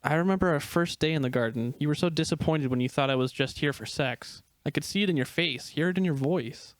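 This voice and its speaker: A man playing an earnest, gentle young gray wolf, shy and devoted, in a dramatic reading of a screenplay. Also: fairly high pitch, fairly quick, no echo, close to an everyday voice. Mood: earnest, confessional.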